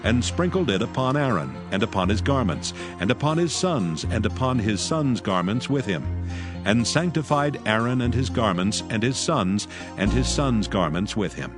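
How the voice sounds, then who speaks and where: A man narrating scripture in a steady, reverent, measured way for a dramatized audio Bible. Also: lowish voice. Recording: narration over clear music. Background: music.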